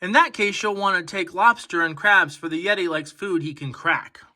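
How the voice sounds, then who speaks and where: Man acting an indignant, bright-voiced female monster. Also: high voice, moderate pace. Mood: advising. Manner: indignant, emphatic. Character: big indignant female mountain monster, bossy yet helpful.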